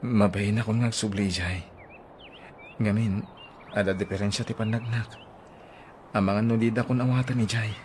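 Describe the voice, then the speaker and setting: A man acting in an Ilocano radio drama, low-pitched and hesitant, his lines full of feeling. Faint noise hums under the voice. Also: fairly even intonation, normal speed, long pauses, a little mellow. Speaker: actor in audio drama.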